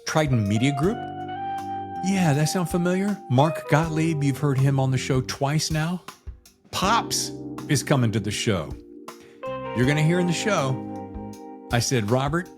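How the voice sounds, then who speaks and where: A man hosting a podcast in a casual, chatty style, with a folksy, effusive showman's way of talking. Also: mid-range voice. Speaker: podcast host.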